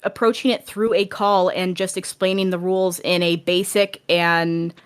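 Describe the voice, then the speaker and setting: A woman's voice: fairly low pitch, little pitch movement, normal speed, clean tone. A woman speaking as a podcast guest, explaining things in a casual, informal and encouraging way.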